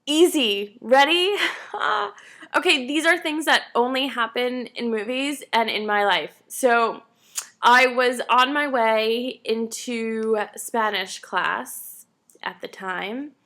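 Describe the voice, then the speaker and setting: Bright-voiced tired young woman. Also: fairly high pitch, slow pace. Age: 20s. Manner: chatty, self-deprecating, animated. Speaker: vlogger. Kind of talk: casual chat to camera.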